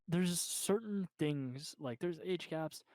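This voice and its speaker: A man's voice: fairly high pitch, slow, a little mellow, no echo. Hesitant eighteen-year-old man, dry sound. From Canada. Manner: agreeable, short replies, hesitant. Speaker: podcast co-host. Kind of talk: casual opinion chat.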